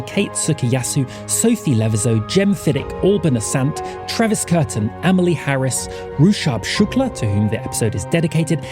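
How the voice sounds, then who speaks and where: A man announcing podcast credits from a script. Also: medium pitch. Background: music.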